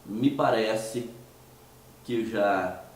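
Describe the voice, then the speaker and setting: A man from Minas Gerais with a Mineiro Brazilian Portuguese accent, hoarse from a cold, hosting a conversational commentary monologue with hesitant restarts and rhetorical questions. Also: lowish voice, drawn-out words, very echoey, far from the mic. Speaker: host of a commentary show.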